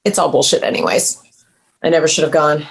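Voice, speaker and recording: Woman playing a creaky, bitter, brash boozer. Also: low voice, expressive, fairly quick, rough recording. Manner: sardonic, blunt. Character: bitter, brash, haggard boozy woman in her forties. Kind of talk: screenplay table read.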